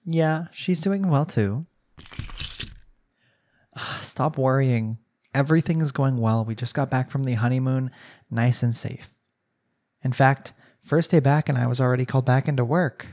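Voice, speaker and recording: A man acts out a young newlywed husband who is prone to jealousy, in an intimate audio drama. His voice sits at an average pitch and has a creaky, gravelly grain. He talks conversationally, as if to his wife or family, leaning on his words. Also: a little slow, long pauses, a little mellow, lightly acted. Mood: reassuring, weary. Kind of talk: intimate audio roleplay, acted monologue.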